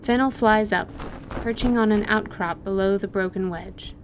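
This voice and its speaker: A woman's voice: medium pitch, moderate pace, noisy background. A woman giving scripted, present-tense narration for a fantasy audio drama.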